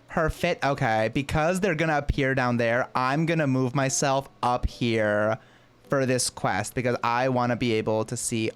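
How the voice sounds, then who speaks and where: Low-voiced woman streaming a game. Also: smooth. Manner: gushing, slangy exclamations. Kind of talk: casual live reaction chat.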